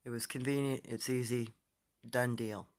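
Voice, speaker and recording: A soft-spoken man telling stories and answering comments in a casual, rambling live stream, recorded on his phone. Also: mid-range voice, steady tone, moderate pace.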